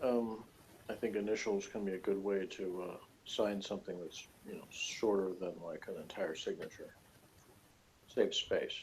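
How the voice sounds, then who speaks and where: A man's voice: sits low, steady tone, normal speed, slight background noise. A man chatting casually as a guest reviewer, hesitant, rambling and flippant, on dull lo-fi audio. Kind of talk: casual chat.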